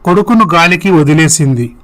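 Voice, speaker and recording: A man voicing a gentle, grateful husband and father in a scripted story, with a sincere manner. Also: on the high side, expressive, a little fast. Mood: appreciative, sober.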